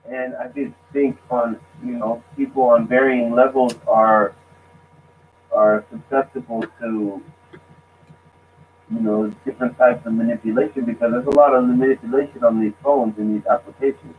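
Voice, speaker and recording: A man speaking casually as a guest, halting and restarting his sentences. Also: medium pitch, fairly even intonation. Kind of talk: casual discussion. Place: lives abroad. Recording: tinny phone line with faint noise.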